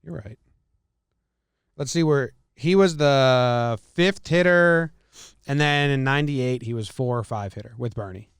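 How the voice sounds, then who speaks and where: An American man hosts a casual sports podcast. His voice is clean and smooth at an ordinary middle pitch, recorded close and dry with no room sound. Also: a little slow, dry recording. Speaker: podcast host.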